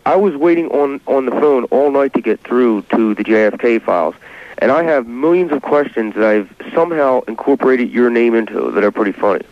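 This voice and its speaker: Fast-talking man on a dull phone line. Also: medium pitch, expressive, no room sound. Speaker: prank caller phoning a radio show. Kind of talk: casual phone chat. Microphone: telephone. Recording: dull, band-limited phone line, dry.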